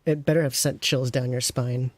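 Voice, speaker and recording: A streamer giving casual, rambling game commentary, teasing and chatting with viewers, in a middle-pitched voice. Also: fairly even intonation, normal speed, dry recording.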